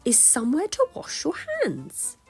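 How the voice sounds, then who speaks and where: Storybook heroine: inventive, determined cheerful woman cyclist, acted by a female reader; slow, drawn-out musing with big pitch swings. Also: wildly swooping pitch, darkish tone, faint music behind, exaggerated voice. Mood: musing, decisive.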